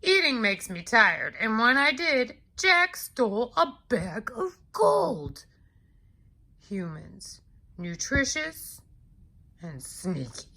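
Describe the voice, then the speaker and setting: A woman's voice: fairly high pitch, bright, forceful tone, exaggerated voice. A woman voicing a big, hungry male giant who tells his side of a storybook tale in an aggrieved, exclamatory way. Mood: aggrieved, sly. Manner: exclamatory, indignant, deliberate storyteller. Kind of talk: expressive children's storybook read-aloud.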